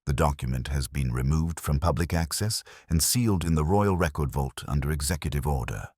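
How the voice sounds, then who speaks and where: A man's voice: extremely low pitch, fairly quick, a little mellow, slightly rough, no room sound, clean studio sound. A man voicing a discreet Victorian government official through a letter in an audiobook, in a stiffly formal, guarded manner. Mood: grave, official.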